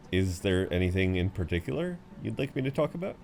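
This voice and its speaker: A man's voice: low-pitched, vocal fry, a little mellow, a little hiss or hum, exaggerated voice. A man playing a chatty, good-humoured frequent flyer who rambles kindly to a seatmate, in an intimate roleplay audio. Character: chatty, friendly tall male frequent flyer comforting seatmate. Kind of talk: intimate roleplay audio acting, one side of conversation.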